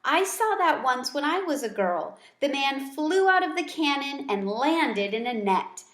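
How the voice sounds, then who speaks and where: A woman's voice: sits high, sing-song, a little slow, a bit bright, reverberant, voice pushed up, exaggerated voice. A woman reading the part of a busy, good-humored female schoolteacher in a storybook, conversational and practical. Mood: practical, amused.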